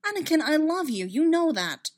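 An earnest, loving woman from an audiobook romance, put on by a female reader. She is firm and insistent, leaning on her words in a fairly high voice. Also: sing-song, unhurried, a little mellow. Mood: pleading. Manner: emphatic, insistent.

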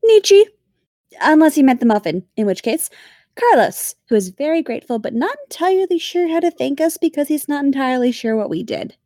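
A woman narrator puts on a cheerful, capable female official in a fantasy audiobook. Her voice is high, soft-toned and very animated, recorded dry. She coaxes gently and patiently, never giving way. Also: brisk, dry recording. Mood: bright, musing. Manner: gentle, guiding, patient, teacherly. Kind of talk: audiobook-style character reading.

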